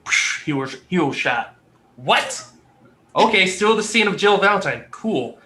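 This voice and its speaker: A man's voice: high voice, animated, unhurried, pushed and bright, a little hiss or hum. A man in a casual panel chat, telling stories with dry sarcasm. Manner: sarcastic, trivia-heavy storytelling.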